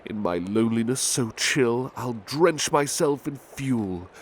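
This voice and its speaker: A man playing a big-hearted butler, reading poems in a measured, gentle, formal ASMR style. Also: medium pitch, animated, close to an everyday voice. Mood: measured, wistful. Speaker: ASMR roleplay performer. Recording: faint ambient background noise.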